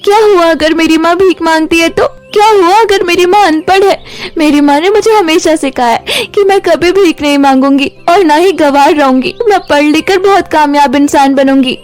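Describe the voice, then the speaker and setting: A woman's voice: very high voice, normal speed, faint music behind, voice pushed up. A woman voicing a poor, kind-hearted young girl in a cartoon fairy tale, in an earnest, emotive way. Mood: hurt, determined.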